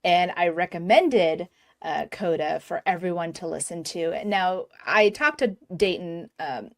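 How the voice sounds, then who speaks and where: A woman's voice: on the low side, measured pace. A woman hosting a fan podcast, chatting eagerly with her guest and laughing often. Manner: bubbly, laughs often, enthusiastic. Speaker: podcast host. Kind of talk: casual fan interview.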